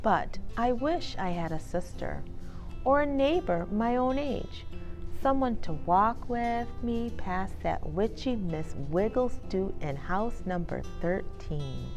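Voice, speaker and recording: A woman host reading a children's book aloud in an animated, expressive storytelling manner. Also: medium pitch, takes it slowly, faint music behind. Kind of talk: expressive storybook read-aloud with casual introduction. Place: inside a bookstore.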